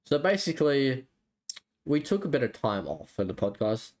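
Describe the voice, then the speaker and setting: Guy podcast cohost, middle of the road pitch, kinda rambly casual talk. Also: very animated, normal speed, a little mellow. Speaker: podcast co-host.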